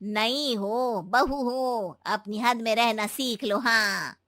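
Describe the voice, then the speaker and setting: A woman voicing a stern, nagging village mother-in-law in a moral story, brusque and fond of sharp tag words. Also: a little high, slow pace, no room sound, clean studio sound. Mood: indignant. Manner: brusque, nagging, ends remarks with a sharp "haan". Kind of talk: animated Hindi moral-story voice acting.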